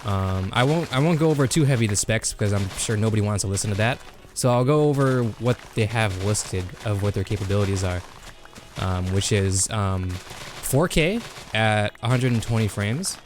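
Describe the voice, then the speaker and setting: Excitable young man, low voice, swearing. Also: normal speed, background noise. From Kansas. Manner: excitable, swears, says "bro" a lot. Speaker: podcast host. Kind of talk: casual gaming chat.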